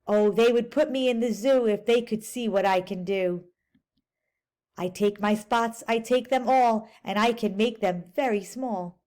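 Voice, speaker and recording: A woman's voice: a little high, unhurried, darkish tone, lightly acted. A woman voicing a boastful, eager spotted male creature in a rhyming storybook, with a bouncy delivery.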